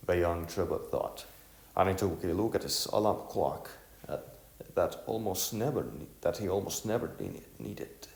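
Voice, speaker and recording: A man reading scripted storybook narration in a halting way, stumbling over words and repeating them. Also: low voice, lively intonation, vocal fry, a little mellow. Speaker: narrator of an audiovisual novel. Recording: echoey room, music underneath.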